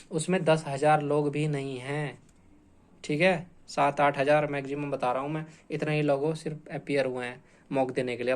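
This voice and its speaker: Guy teaching exam prep, slightly high voice, informal, repeats himself to drive points home. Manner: repetitive, emphatic, checking in with frequent tag phrases. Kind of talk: informal explanatory lecture to students.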